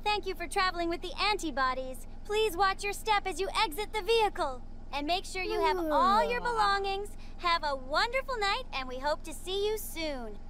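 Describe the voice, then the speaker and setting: Woman voicing a squeaky, very high-pitched cheerful girl. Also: expressive, moderate pace, few pauses. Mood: playfully formal. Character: Margaret, cheerful, clever girl on a hero team. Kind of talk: cartoon comic dub acting. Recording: clearly noisy recording with clicks.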